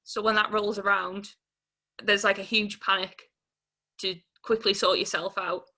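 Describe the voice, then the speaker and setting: A woman in her twenties speaks as an interviewee about her own life. Her voice is bright and fairly flat in pitch. She talks informally and hesitantly, with plenty of casual fillers. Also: unhurried. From Newcastle upon Tyne, England. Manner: informal, hesitant, peppered with 'like'. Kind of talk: candid personal account in an interview.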